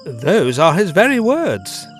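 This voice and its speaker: Bold, inquisitive period gentleman male actor, highish voice with hugely swooping intonation, theatrical audio drama. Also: wildly swooping pitch, takes it slowly, soft background music, heavily acted. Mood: teasing, curious. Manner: theatrical, old-fashioned, inquisitive. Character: bold, curious gentleman friend, fascinated by ghosts. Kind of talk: period ghost story audio drama acting.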